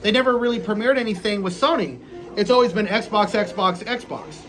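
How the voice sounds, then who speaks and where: A man's voice: sits high, animated, moderate pace, a bit bright. A man chatting casually about games, opinionated and blunt, swearing and repeating his points. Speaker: co-host. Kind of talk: casual gaming chat.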